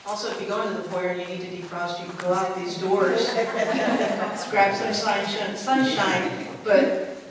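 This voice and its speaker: High-pitched man announcing in a hall. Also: fairly even intonation, a loud crowd. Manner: informal, halting with many fillers. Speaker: conference organizer introducing speakers. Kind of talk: announcements and introduction. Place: large convention center meeting room. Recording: echoey hall from far off.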